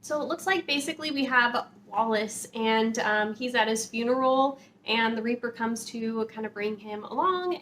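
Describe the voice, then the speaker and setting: A woman with a fairly high voice talks casually to her viewers as a book vlogger. She is informal and chatty, wandering into hedged asides. There is faint noise behind her voice. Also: a bit bright. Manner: informal, hedging, rambling asides. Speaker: book vlogger, channel host.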